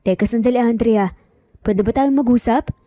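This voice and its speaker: A woman's voice: fairly high pitch, moderate pace, mellow, no room sound, studio quality, close to an everyday voice. A woman voicing a persistent, earnest man in an audio drama, pleading with a Filipino accent. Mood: urgent. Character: persistent, earnest man, the mother's former lover.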